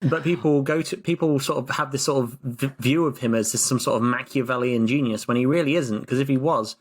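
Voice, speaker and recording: A man's voice: mid-range voice, fairly quick, breathy edge. A man co-hosting a podcast in casual chat, ranting sarcastically with stammering restarts. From Britain. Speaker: podcast co-host.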